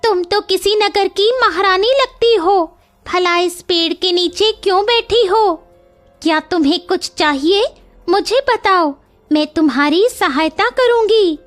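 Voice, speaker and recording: A tiny female cartoon bird, speaks in a very high, piercing register. She is motherly and anxious, with an emphatic delivery that turns to scolding quickly. Music plays faintly behind her. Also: fairly bright tone. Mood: kind, curious.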